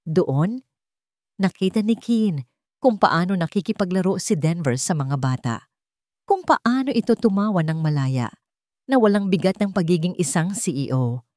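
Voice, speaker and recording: A woman's voice: lowish voice, expressive, normal speed, darkish tone, no echo, studio quality. A woman reading scripted narration of a sentimental love story.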